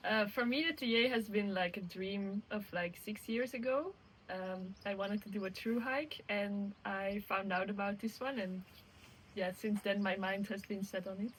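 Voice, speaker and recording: A young woman from Belgium with a mid-pitched voice. She answers in a soft-spoken, measured way and laughs lightly now and then. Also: a little hiss or hum, rough recording. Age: 20s. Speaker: interviewee hiker.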